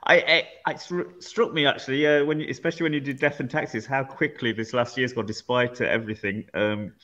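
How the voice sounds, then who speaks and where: A man's voice: mid-range voice, steady tone, brisk, a bit bright. A middle-aged man hosting a casual online music show, speaking hesitantly with many fillers. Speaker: host. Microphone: headset. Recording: dull lo-fi video call audio.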